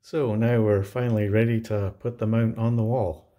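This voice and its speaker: A man giving a casual how-to narration of a home installation, explaining step by step with halting pauses mid-sentence. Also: lowish voice, level tone, dark tone. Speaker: DIY home installer presenting his project. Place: indoors in a home.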